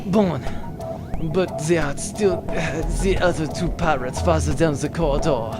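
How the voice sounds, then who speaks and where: An actor playing a clever, dry ship's mechanic in an amateur sci-fi audio drama, talking wryly in technical terms. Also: male voice, fairly high pitch, a little slow, loud music behind the voice, lightly acted. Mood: strained, stubborn. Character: French ship's mechanic, clever, dry, self-effacing man.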